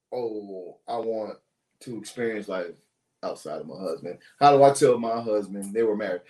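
A man's voice: on the low side, mellow, roomy sound, poor audio. A man co-hosting a casual relationship talk show, joking with crude banter and asking questions of the listeners. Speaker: podcast co-host.

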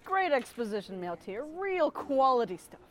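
High-voiced, sarcastic adventurer. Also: female voice, very animated, moderate pace, very noisy. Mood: dry sarcasm. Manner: mocking, dry sarcasm. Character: sarcastic, mercenary non-human female fantasy adventurer. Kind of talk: comedic fantasy audio drama acting.

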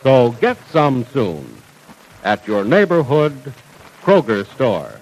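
A man announcing a 1940s radio serial and reading its sponsor's commercial in a smooth, emphatic salesman style. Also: mid-range voice, huge pitch swings, slow, darkish tone, low-quality recording.

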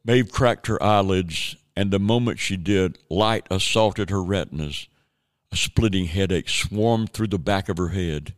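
A man's voice: low-pitched, unhurried, smooth, dry recording, clean studio sound. A man narrating a radio drama in a dramatic storytelling manner.

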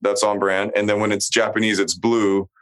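A man's voice: lowish voice, flat delivery, moderate pace, fairly bright tone. A man co-hosting a podcast, chatting casually about a TV show, blunt and emphatic with heavy swearing. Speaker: podcast co-host.

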